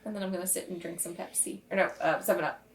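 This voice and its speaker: A woman's voice: on the low side, steady tone, very fast, slight background noise. A woman speaking casually as a player in a tabletop game, improvising her character's words and actions. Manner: informal, conversational.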